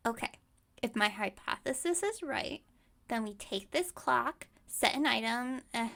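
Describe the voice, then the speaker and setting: A woman's voice: a little high, lively intonation, a little slow, vocal fry, a bit bright, full character voice. A woman playing a scatterbrained, overconfident witch shopkeeper in an ASMR roleplay, chatting breezily and musing aloud with great self-assurance. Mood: confident, excited. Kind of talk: ASMR roleplay audio drama.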